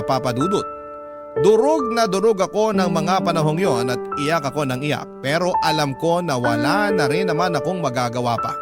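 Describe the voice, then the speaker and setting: High-voiced male narrator over loud music. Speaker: radio drama narrator and host. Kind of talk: scripted first-person letter narration.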